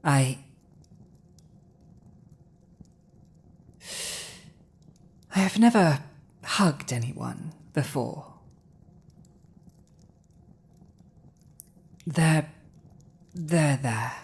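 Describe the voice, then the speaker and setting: A woman voicing a proud, domineering female dragon with an awkwardly tender side, in a dramatic ASMR roleplay, speaking deliberately with a husky, breathy voice. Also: low-pitched, expressive, a little mellow, exaggerated voice. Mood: soft, tender. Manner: slow, deliberate, imperious, with long pauses.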